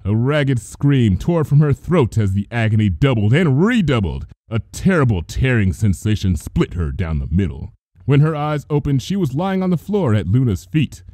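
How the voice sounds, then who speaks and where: A man's voice: mid-range voice, big pitch swings, moderate pace, dark tone, dry recording, studio quality. A man reading a story aloud as a theatrical, intense narrator. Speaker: fan fiction reader. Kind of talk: dramatic scripted story narration.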